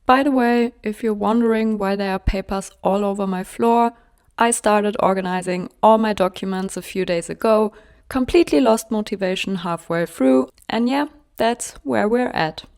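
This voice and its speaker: A woman's voice: mid-range voice, normal speed, darkish tone, smooth. A woman in her early thirties from Germany, speaking English with a German accent in a casual, open, chatty vlog to camera. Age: early 30s. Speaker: vlogger.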